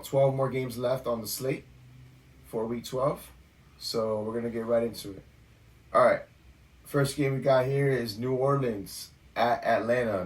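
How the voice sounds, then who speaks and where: A man co-hosting a sports podcast, going over game matchups in a relaxed chatty way with lots of fillers. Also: medium pitch, distant mic. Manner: relaxed, talks through stats with fillers. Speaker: podcast co-host. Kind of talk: casual sports podcast chat.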